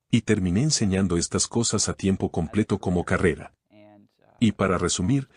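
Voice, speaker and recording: A man from Canada, a guest in an interview, answering questions in a calm, methodical, explanatory way. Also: deep voice, slightly rough.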